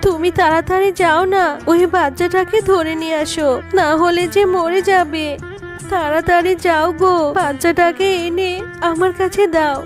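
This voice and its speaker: A woman's voice: very high voice, fairly even intonation, moderate pace, faint music behind. A woman voicing an anxious, tearful young mother in a melodramatic cartoon fairy tale, with a plaintive, pleading manner. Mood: urgent, worried.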